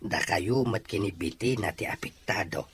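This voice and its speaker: A man's voice: lowish voice, animated, normal speed, slight background noise, natural voice. A man playing a kindly middle-aged father in an audio drama, with an Ilocano accent. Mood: kindly, advising. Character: kindly, approving father advising his son.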